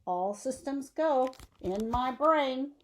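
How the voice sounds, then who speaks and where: A woman's voice: high voice, expressive, slow, pitched above the performer's own voice. A woman voicing an independent, wry eighth-grade girl with a casual, reassuring manner in a storybook reading. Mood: breezy reassurance.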